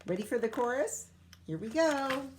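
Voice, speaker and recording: A woman teacher reading a picture book aloud to young children in an expressive, sing-song way, with coaxing asides and questions. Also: on the high side, normal speed, slight background noise. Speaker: primary school teacher reading aloud to pupils.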